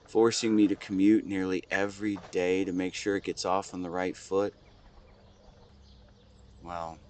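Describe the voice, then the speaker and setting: A man playing a weary, devoted husband in a scripted dramatic monologue, speaking tenderly and haltingly as if to his wife. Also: deep voice, slight background noise. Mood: tender, apologetic. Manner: halting, earnest, intimate delivery. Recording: clear background ambience with nature sounds.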